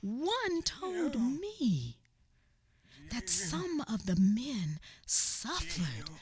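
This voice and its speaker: A woman's voice: high-pitched, big pitch swings, extremely slow. A woman narrating a family memoir in a radio play, somber and often sinking to a whisper. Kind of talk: dramatic radio theatre narration.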